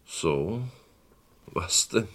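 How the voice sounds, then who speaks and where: A man playing a smooth-talking, patronizing uncle with menace underneath in a German radio crime drama. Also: low voice, lively intonation, drawn-out words, a bit bright, heavily acted. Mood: coaxing, wary.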